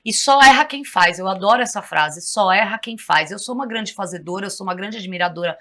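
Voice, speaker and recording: A woman's voice: medium pitch, fairly quick, sharp tone. A Brazilian woman who mentors in communication, explaining things as a guest on a talk show in a didactic, teacherly way. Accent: Brazilian Portuguese.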